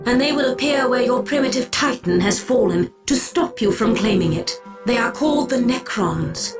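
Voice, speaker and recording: A woman voicing an ancient, aloof alien seer in a game cutscene, measured, solemn and portentous. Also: a little high, lively intonation, over music, lightly acted. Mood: grave warning. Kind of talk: video game cutscene acting.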